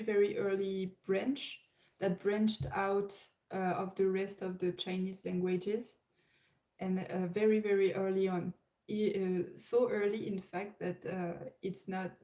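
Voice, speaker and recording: A woman's voice: fairly low pitch, mellow, poor audio. A French woman with a French accent, chatting live to viewers and hesitating often with fillers and restarts. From France. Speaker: livestream host. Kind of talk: casual live chat.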